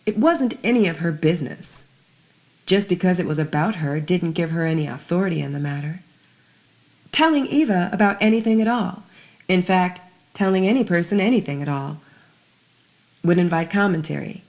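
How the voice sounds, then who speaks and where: A woman narrating scripted fiction in a measured, dramatic storytelling manner, letting some phrases fall hushed. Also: on the low side, lively intonation.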